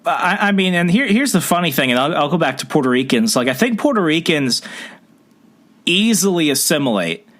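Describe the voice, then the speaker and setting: A male podcast host in easy, casual conversation, swinging through a lively, wide pitch as he jokes and rants. His voice sits a little high for a man. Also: a little fast. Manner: animated, joking, prone to rants.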